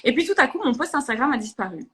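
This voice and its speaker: A woman's voice: sits high, big pitch swings, quick pace, fairly bright tone. A young woman, a politician guest talking earnestly in a live interview, with many hesitations and restarts. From Belgium. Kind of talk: live interview on social media.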